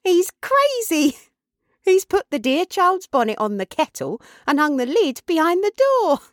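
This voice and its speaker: Storybook role: devoted young daughter acted by a female narrator, fond, soothing, playful, pitch very animated. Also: takes it slowly, no echo, studio quality. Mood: surprised, teasing. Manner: soothing, fond, gently teasing. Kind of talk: storybook character voice.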